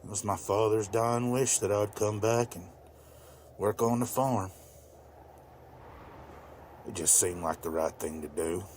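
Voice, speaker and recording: A man playing a weary, plainspoken older widowed farmer in a motion comic, talking in a measured way. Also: on the low side, a little mellow, slight background noise, close to an everyday voice. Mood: calm, hospitable.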